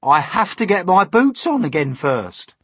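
A man voicing a loud, fussy, bossy centipede with a dramatic, demanding manner in a children's storybook reading. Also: high-pitched, sing-song, measured pace, breathy edge, no echo, pitched above the performer's own voice, full character voice. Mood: stubborn.

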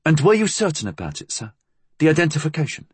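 A man's voice: a little high, very animated, fast, a little mellow, breathy edge, no echo, voice pushed up, heavily acted. A man voicing a nervous, deferential police inspector in an audiobook, hesitant and formally polite. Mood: uneasy, hesitant.

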